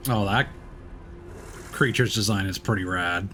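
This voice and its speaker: A man's voice: on the low side, unhurried, a bit bright, soft background music. A man doing casual, rambling let's play commentary as a game streamer, chatty and easygoing.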